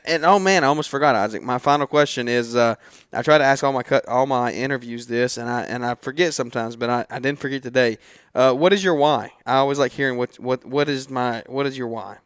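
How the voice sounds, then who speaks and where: A man's voice: medium pitch, fairly bright tone, smooth, no room sound, studio quality. A man hosting a casual interview podcast, speaking in an informal, effusive and encouraging way. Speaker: podcast host.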